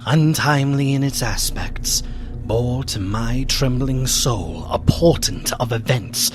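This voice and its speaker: A slow, grave gothic gentleman. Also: mid-range voice, lively intonation, over music. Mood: solemn dread. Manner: solemn, deliberate, ominous. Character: grave, horrified gentleman friend recounting a gothic tale. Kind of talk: melodramatic radio drama narration and acting.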